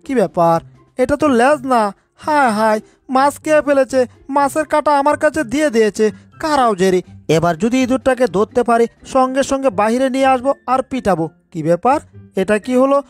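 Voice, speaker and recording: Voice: sits high, animated, brisk, soft background music. A performer voicing a blustering, hapless male cat in a cartoon dub, full of exclamations. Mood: determined, straining. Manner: exclamatory, wailing. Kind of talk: Bengali cartoon dub acting.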